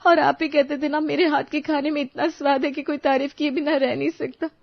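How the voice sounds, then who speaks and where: A woman voicing a humble, apologetic working mother with a pleading, self-effacing manner in an animated moral story. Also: high voice, brisk, creaky, no echo, muffled recording, pitched above the performer's own voice.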